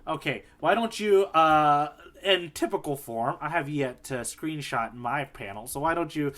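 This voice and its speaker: Male podcast co-host, fairly high voice, jokey and slangy, loose conversational banter. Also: lively intonation, measured pace, a bit bright. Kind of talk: casual banter.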